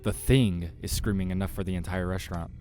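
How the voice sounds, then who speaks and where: A man's voice: low-pitched, fairly even intonation, brisk, a little mellow, faint music behind. A man reading scripted first-person horror narration aloud from a visual novel. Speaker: game player reading aloud.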